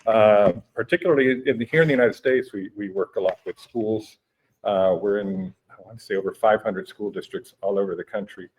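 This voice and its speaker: A man in his forties whose first language is Spanish, explaining things in a relaxed interview with many hesitations and restarts. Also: on the low side, brisk, husky, lo-fi sound. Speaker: guest, education technology company director. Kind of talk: conversational interview. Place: conference venue.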